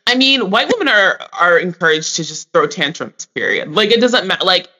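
Man, podcast co-host, bright tense voice, slightly high, laughs a lot, says like constantly. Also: very animated, moderate pace. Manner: laughs often, lots of likes. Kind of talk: casual chat.

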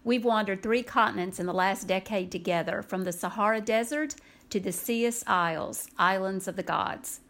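A woman's voice: medium pitch, moderate pace, a bit bright. A woman in her forties hosting her own podcast, eager in her welcome and chatty as she asks her friend questions. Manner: enthusiastic, scripted-sounding introduction, then chatty. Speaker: podcast host. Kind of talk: podcast interview.

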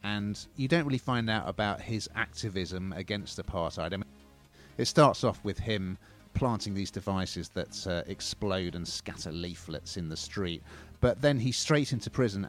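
A man's voice: deep voice, normal speed, soft background music. A man co-hosting a TV and film review show, chatting with dry, wry humour. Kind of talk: casual review chat.

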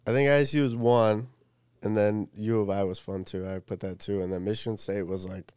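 A man's voice: fairly low pitch, fairly even intonation, fairly quick, a little mellow, clear, resonant tone, no room sound, studio quality. A man in his twenties, co-hosting a podcast and telling stories in a laid-back way with lots of fillers. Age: 20s. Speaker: podcast co-host. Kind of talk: casual chat.

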